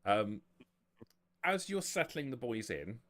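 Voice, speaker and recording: A man narrating and explaining a tabletop roleplaying game as game master, halting and often rephrasing as he goes. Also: medium pitch, very animated, fairly bright tone.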